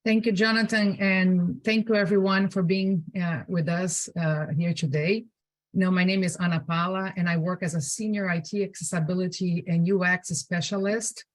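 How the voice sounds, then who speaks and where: A woman with a Brazilian accent and a fairly low voice presents informally over a video call. The sound is dry and close. She talks conversationally, dropping in "you know" and posing questions as she goes. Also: steady tone, fairly quick, dry recording. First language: Portuguese. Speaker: presenter, digital accessibility specialist.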